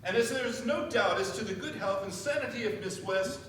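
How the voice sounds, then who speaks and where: A man playing a formal, authoritative family lawyer in a staged radio play, with an official, deliberate delivery. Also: high voice, a crowd in the background, close to an everyday voice. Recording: echoey stage, distant mic, faint hiss.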